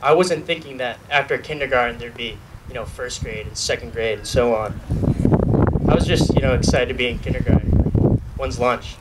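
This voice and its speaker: A man's voice: mid-range voice, a little fast. Young man giving a graduation speech. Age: young adult. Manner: earnest, lightly joking, reads from notes. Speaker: student speaker. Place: outdoor graduation ceremony at a podium. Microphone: podium mic filmed from a far camera. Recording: distant outdoor recording with noise.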